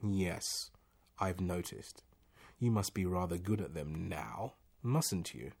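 A man voicing a calm, wry, teasing disembodied voice in a storybook, measured and mock-polite. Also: sits low, vocal fry, breathy edge, heavily acted. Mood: dry amusement. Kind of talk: storybook character reading.

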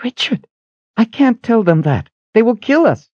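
A man's voice: high-pitched, very animated, moderate pace, darkish tone, dry recording, highs cut off, voice pushed up. A man voicing an anxious woman in a fantasy audiobook, urgent and protesting. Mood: alarmed pleading. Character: anxious, pleading woman companion in a fantasy tale. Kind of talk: dramatic audiobook character acting.

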